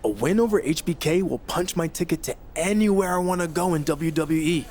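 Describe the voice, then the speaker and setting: A man's voice: fairly high pitch, brisk, darkish tone, a little hiss or hum, natural voice. A man playing a cocky, determined young rookie wrestler in a video game cutscene. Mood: defiant. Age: young adult.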